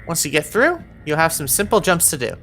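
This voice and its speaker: Lively woman guiding a game walkthrough. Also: low-pitched, brisk, a little hiss or hum. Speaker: video game guide narrator. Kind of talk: instructional game walkthrough commentary.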